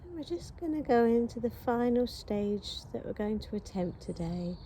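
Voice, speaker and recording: A woman leading a guided breathing practice, counting the breaths calmly and steadily. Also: a little high, a little hiss or hum. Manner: calm, slow, counting steadily. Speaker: breathing instructor.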